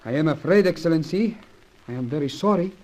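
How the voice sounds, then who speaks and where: An actor playing a humble, deferential young foreign informant in an old radio serial, formal and apologetic. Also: male voice, a little high, animated, fairly quick, a little mellow, natural voice. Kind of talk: old-time radio adventure serial acting.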